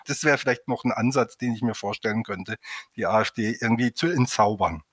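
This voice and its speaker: A man's voice: on the low side, a little fast, a bit bright, breathy edge, no room sound, clean studio sound. A man speaking as a psychotherapist guest on a panel discussion, thoughtful and searching, often restarting his sentences.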